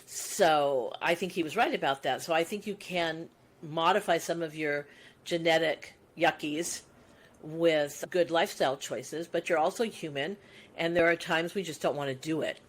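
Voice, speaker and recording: Low-voiced woman in her sixties musing. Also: normal speed. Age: 60s. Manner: reflective, musing, talks in long winding sentences. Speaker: podcast co-host. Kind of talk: casual conversational chat.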